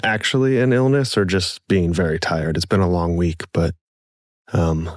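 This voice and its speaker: An easygoing man co-hosts a podcast in relaxed chat. His voice is low and a little hoarse, as though he is coming down with something. The recording is dry and close. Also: normal speed, no echo. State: slightly hoarse, getting sick. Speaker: producer and co-host. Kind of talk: casual chat.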